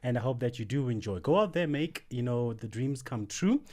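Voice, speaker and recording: A man's voice: mid-range voice, animated, normal speed, mellow. A man hosting a radio show, reading out listener messages and giving upbeat sign-offs. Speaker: radio host.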